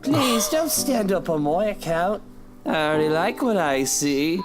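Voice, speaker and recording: Slow, suggestive tipsy flirt. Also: male voice, high-pitched, lively intonation. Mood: flirty, tipsy. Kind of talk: visual novel voice acting. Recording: music behind the voice.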